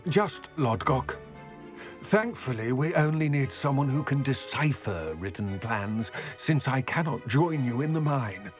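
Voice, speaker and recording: A man acts as a weary male goblin in a fantasy game, grave and wary of wizards. He speaks formally in measured, full sentences at an ordinary male pitch, over faint music. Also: lively intonation, lightly acted. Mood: curt, exasperated. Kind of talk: video game cutscene acting.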